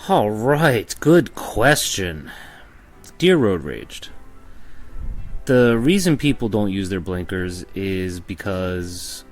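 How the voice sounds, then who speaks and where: A man with a low voice reads scripted spoken-word material. His delivery is blunt and deadpan, with light music playing behind him. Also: slow.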